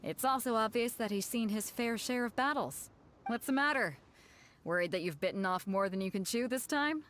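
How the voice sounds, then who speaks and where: A woman's voice: a little high, expressive, normal speed, a bit bright. A woman voicing a confident, seasoned captain in a video game, frank and playfully teasing in her delivery. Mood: assessing, teasing. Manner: self-assured, frank, playful. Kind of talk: video game character dialogue.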